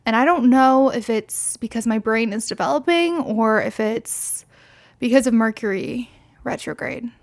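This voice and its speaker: A woman's voice: a little high, a little slow. A woman in her twenties, slightly tipsy, rambling candidly through a casual solo podcast with lots of fillers and swearing. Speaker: podcast host.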